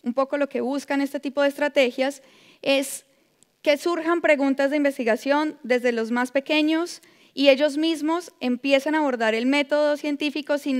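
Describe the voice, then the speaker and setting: A young adult woman from Colombia with a Colombian Spanish accent, giving a measured conference talk as a predoctoral researcher, with frequent filler sounds. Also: high voice. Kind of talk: conference presentation. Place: large lecture hall. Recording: long hall reverb.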